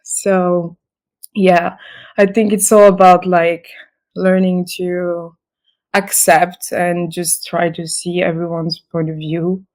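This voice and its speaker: Slow, low-voiced woman. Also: little pitch movement, a little mellow, rough recording. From Senegal. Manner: halting, many fillers and restarts. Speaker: guest, model. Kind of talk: casual interview talk.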